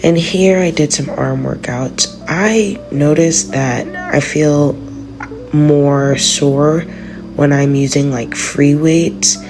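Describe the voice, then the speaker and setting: A congested woman giving a relaxed, rambling voice-over about her gym session. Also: deep voice, takes it slowly, over music. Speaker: vlogger. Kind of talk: casual voice-over narration.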